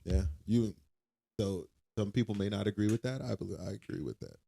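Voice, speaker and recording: A man's voice: lowish voice, brisk, creaky, mellow. A young man co-hosting a casual faith-based podcast, earnest and reassuring, often repeating himself as he works through a thought. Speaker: podcast co-host.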